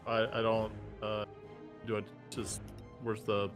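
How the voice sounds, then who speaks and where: A man's voice: lowish voice, monotone, unhurried, music in the background. A man playing a tabletop game, talking casually and hesitantly while unsure how the game tools work. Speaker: player.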